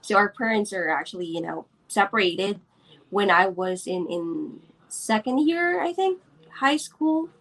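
A woman's voice: mid-range voice, lively intonation, a little slow, fairly bright tone, low-quality recording. A woman from the Philippines, a business owner being interviewed, hesitant with many fillers and restarts, over a video call. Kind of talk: casual interview.

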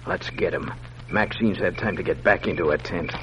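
A man's voice: low-pitched, moderate pace, fairly bright tone, slight background noise. An actor playing a cocky, treacherous cowboy henchman with folksy speech in an old radio western. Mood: cocky, menacing. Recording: muffled old radio broadcast.

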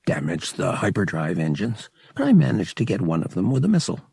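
A young pilot acted by a male narrator talks in a slangy, casual way with a sympathetic edge. The voice is husky and creaky, in a dry audiobook recording. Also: sits low, huge pitch swings, brisk, a little mellow, no echo, full character voice. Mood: conspiratorial, casual.

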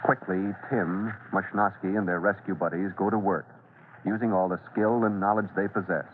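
A man's voice: sits low, steady tone, moderate pace, a little hiss or hum. A man narrating as the announcer of a dramatic old-time radio serial. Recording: tinny old radio recording.

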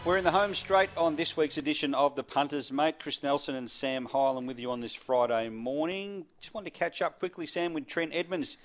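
An Australian man hosts a morning racing show on radio. He talks in a chatty, joking way and moves the conversation along. His voice sits at an ordinary middle pitch. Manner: chatty, jokey, laughs easily. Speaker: radio host. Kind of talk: radio sports interview.

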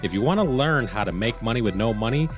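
A man's voice: on the low side, lively intonation, quick pace, soft background music. A man hosting a podcast, upbeat and encouraging, affirming his guest and reading the show's outro. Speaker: podcast host. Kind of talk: casual interview hosting and outro.

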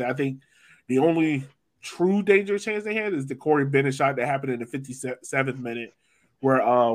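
A man hosting a sports podcast, talking soccer casually in an animated, informal way with jokey asides. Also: medium pitch. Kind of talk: casual soccer chat and analysis.

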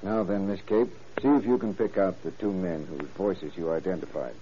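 An actor playing a calm, methodical county sheriff, measured and soothing yet authoritative, in 1930s radio acting style. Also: male voice, low voice, slight background noise, natural voice. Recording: muffled 1930s radio recording.

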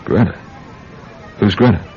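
An actor playing a wry, world-weary American intelligence agent in a radio drama, dry and deliberate as he tells and probes his case. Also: some chatter behind, slight background noise, close to an everyday voice. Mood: probing. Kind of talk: radio drama acting, first-person spy narration. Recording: dull radio recording with music. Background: music.

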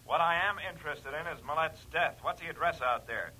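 Brisk, sharp police lieutenant on the phone. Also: male voice, a little high, a little hiss or hum, poor audio. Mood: brisk, businesslike. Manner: brisk, official. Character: businesslike male police homicide lieutenant on the telephone. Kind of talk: 1940s radio drama acting.

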